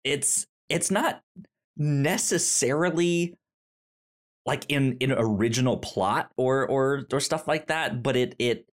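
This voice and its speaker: Halting, stammering podcast host in casual chat. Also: medium pitch. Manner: halting, stammering, repeats words. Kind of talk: casual film review chat.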